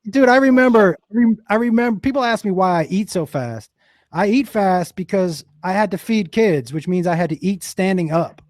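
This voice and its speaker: A hoarse man in his fifties hosts a laid-back chat over a video call, his voice sitting a bit high and bouncing around a lot in pitch. He trips over his words and restarts often, breaking into laughter, and the sound is close and dry with no room around it. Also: expressive, moderate pace, a little mellow, dry recording. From Western Massachusetts. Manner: stammering restarts, laughs easily. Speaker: host. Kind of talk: casual chat.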